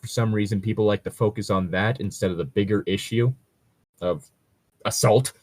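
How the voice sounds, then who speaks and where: A man with a low voice talks in a loose, conversational way, recorded close and dry. He rambles and hedges as he comments, swearing casually along the way. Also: moderate pace, darkish tone, dry recording. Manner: rambling, hedging, casually profane. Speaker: co-host reacting to read-out stories. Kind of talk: casual chat and commentary on a read story.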